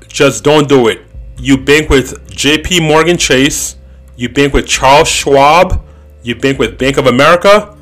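A man's voice: mid-range voice, a bit bright. Male podcast host, 30s, blunt and opinionated, casual monologue, faint music bed.

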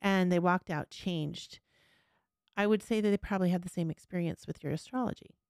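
Female podcast host, smooth lowish voice, close dry sound, earnest and candid conversational delivery. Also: brisk, a little mellow, dry recording. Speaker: podcast host and local storyteller.

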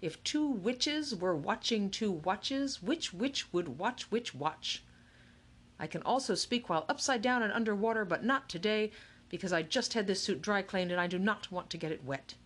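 A woman voicing an eccentric older male game maker, a playful showman with crisp, rat-a-tat speech in a storybook reading. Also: mid-range voice, sing-song. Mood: crisp, exuberant. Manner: starts timid and slow, then crisp and rapid-fire.

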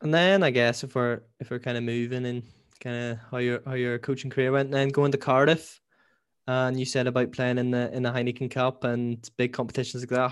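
A man's voice: mid-range voice, clean tone. A man co-hosting a podcast who asks questions casually with many fillers. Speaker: podcast co-host. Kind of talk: podcast interview.

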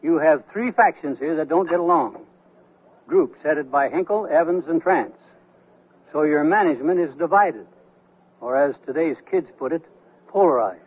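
A shrewd, feisty old retiree in a radio comedy. His husky, fairly high voice is dry and deliberate, like someone telling a tale. The broadcast recording is thin and narrow. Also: expressive. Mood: feisty, scolding. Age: older. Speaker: radio actor. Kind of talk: 1970s radio comedy acting.

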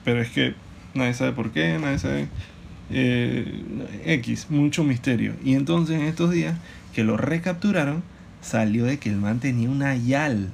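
A young man co-hosting a casual podcast with his partner, telling stories in an animated, joking way. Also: mid-range voice, vocal fry, slight background noise. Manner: joking, animated storytelling with quick laughs. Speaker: podcast co-host. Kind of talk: casual couple podcast chat.

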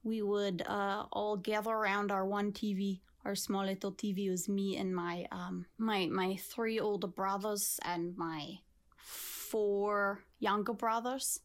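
Woman doing a deadpan Russian lady guest with a put-on accent, slow and dry, mid-pitched. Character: deadpan female Russian guest with dark humor. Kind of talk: improvised comedy sketch.

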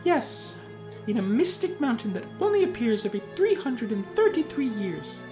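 A narrator voicing a solemn woman mystic in a storybook fantasy, portentous and measured. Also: mid-range voice, very animated, over music, pitched above the performer's own voice, lightly acted. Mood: grand, reverent. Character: high, solemn woman mystic guide pronouncing prophecy.

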